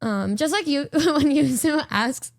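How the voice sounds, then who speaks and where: A woman's voice: high-pitched, lively intonation, measured pace, vocal fry, no echo, studio quality. A young woman streaming, chatting casually and giggling as she reacts to clips, her voice a little worn. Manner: giggly, chatty, often mimics others. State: voice partly gone from recent strain. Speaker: VTuber streamer. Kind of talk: casual livestream reaction chat.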